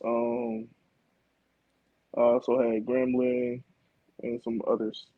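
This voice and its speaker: A young man from Florida, a college football player, answering interview questions in a relaxed way. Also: medium pitch, slow pace, phone-quality sound. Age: young adult. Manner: relaxed, laid-back, many fillers like "like" and "man".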